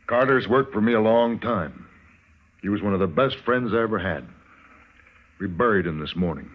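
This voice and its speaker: Man playing low-voiced grim frontiersman. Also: normal speed, lightly acted. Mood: grim, aggrieved. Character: grim, aggrieved frontier employer seeking the marshal. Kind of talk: 1950s radio western acting. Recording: muffled old radio broadcast.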